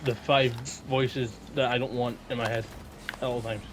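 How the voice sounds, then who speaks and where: Halting man chatting over clear background noise. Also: mid-range voice, little pitch movement. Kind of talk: casual chat.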